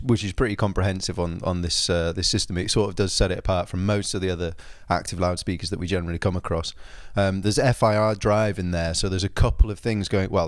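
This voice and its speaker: A man giving an informal spoken product review, explaining things in a measured, understated way. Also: low voice, no room sound, studio quality.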